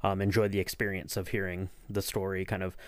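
A man's voice: low-pitched, flat delivery, a little fast, smooth, no room sound, studio quality. A man hosting a casual podcast interview, talking in long, rambling, circling sentences.

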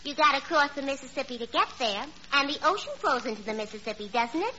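High, bright, dim man-hungry gal. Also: expressive, fast talker. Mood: breezy, naive. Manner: naive, matter-of-fact illogic. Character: dim, man-hungry, chatty young single woman. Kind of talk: 1940s radio comedy acting. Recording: dull, hissy 1940s radio recording.

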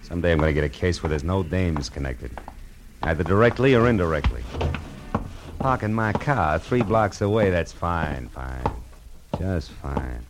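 An American actor playing a tough, wisecracking, world-weary private detective in a 1940s radio noir drama, sardonic and slangy. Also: male voice, sits low, animated, normal speed, noisy background. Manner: hard-boiled, sardonic, slangy.

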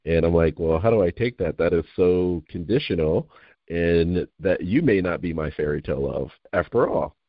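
A man's voice: low voice, moderate pace, dry recording. A man hosting an interview, chatting in an enthusiastic, rambling way. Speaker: host. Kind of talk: casual interview talk. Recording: dull lo-fi audio.

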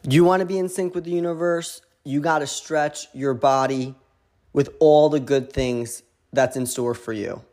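A man in his thirties from Connecticut with a Connecticut accent, hosting a motivational podcast in an animated, emphatic monologue that now and then includes swearing. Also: a little high, moderate pace. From Connecticut, USA. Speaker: podcast host.

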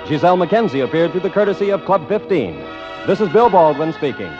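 A man's voice: fairly high pitch, sing-song, a little fast. A man with an American accent announcing a classic radio show from a script. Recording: dull vintage radio broadcast, music behind. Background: music.

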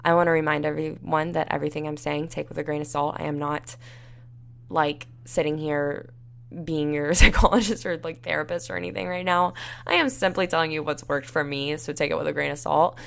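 A young woman hosting a solo podcast, talking casually with lots of fillers, her voice raspy and tired. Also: sits low, steady tone, a little fast. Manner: rambling, many fillers like "like" and "you know". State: tired and dehydrated. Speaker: podcast host.

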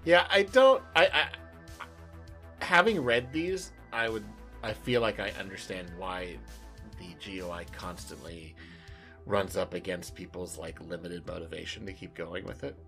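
A man's voice: deep voice, measured pace, sharp tone. A man speaks as a podcast co-host in a casual, conversational style. He feels his way through his thoughts hesitantly, and faint music plays behind his voice. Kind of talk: casual chat.

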